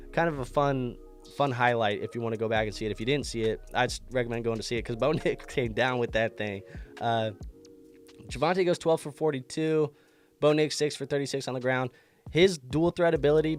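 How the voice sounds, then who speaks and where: A man hosting a fantasy football show, congested from an illness, talking through a casual sports recap with a loose, chatty delivery and frequent restarts. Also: medium pitch.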